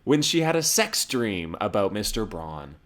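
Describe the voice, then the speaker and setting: Sarcastic male commentator with animated pitch. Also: unhurried. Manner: mocking, wisecracking. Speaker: comedic reviewer narrating an episode summary. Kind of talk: sarcastic humorous scripted commentary. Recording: music behind the voice. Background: music.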